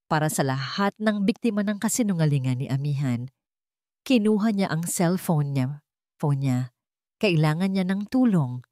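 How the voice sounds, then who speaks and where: A woman with a Filipino accent narrates a dramatic scripted story with emotive, deliberate phrasing. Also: low-pitched, darkish tone, no room sound, clean studio sound.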